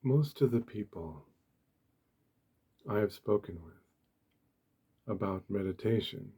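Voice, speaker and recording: Male ASMR host, soft and gentle, mellow and breathy, unhurried deliberate delivery for guided meditation. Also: fairly low pitch, lively intonation. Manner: gentle, deliberate, short phrases with pauses.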